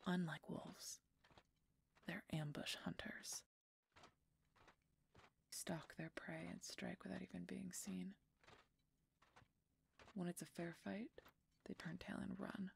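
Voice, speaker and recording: A woman's voice: on the low side, level tone, slow pace, creaky, pauses often, a little hiss or hum, exaggerated voice. A woman playing a guarded, brooding female werewolf knight in a fantasy audio roleplay, terse and often murmuring in a whisper. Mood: guarded, wry.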